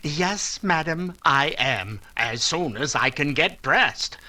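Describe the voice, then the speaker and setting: A man's voice: on the high side, animated, slow pace, pushed and bright, heavily acted. An actor playing a sardonic older Regency father in old-time radio style, wry and mock-courteous. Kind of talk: old-time radio comedy-romance acting.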